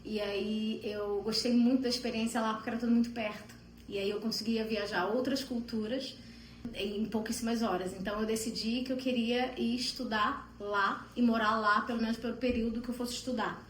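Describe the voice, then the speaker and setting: A woman's voice: on the high side, steady tone, brisk, slight background noise. Chatty Brazilian woman in an echoey room. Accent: Brazilian Portuguese. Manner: chatty, rambling, with fillers. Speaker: interviewee. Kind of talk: casual interview storytelling.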